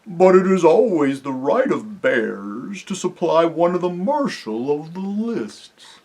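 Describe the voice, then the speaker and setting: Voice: mid-range voice, very animated, darkish tone, pitched above the performer's own voice, exaggerated voice. A narrator doing a thick-voiced, sleepy old bear. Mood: earnest. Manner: slow, drawn-out delivery. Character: eldest bulgy bear, sleepy, slow, polite, stubborn.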